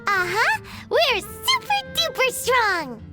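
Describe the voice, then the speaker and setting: A woman voicing a small, childlike, excitable fairy companion in a video game, with a cutesy, squeaky, exclamatory delivery. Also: slow pace, heavily acted. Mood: boastful. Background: game music.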